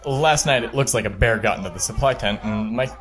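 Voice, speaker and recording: A man's voice: mid-range voice, brisk, a bit bright, faint music behind, close to an everyday voice. An actor playing an earnest, polite man on an 1870s expedition in an audio drama. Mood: polite, worried.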